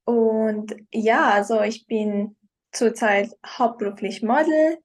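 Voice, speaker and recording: Young woman, high voice. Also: slow. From Iran. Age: young adult. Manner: hesitant, searching for words in learned German. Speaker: guest, professional model. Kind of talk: casual interview.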